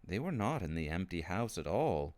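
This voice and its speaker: A man reading children's fiction aloud as an expressive scripted narration. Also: low-pitched, moderate pace, no echo. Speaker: storybook reader.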